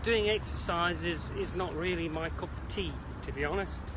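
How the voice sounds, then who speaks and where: A man's voice: high-pitched, normal speed. A British man from the Isle of Man hosts a rambling walking vlog, chatty and jokey with quaint slang, a little out of breath as he goes. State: slightly out of breath from brisk walking. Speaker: vlog host. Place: outdoors along a city creek. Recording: noisy outdoor recording, city traffic ambience.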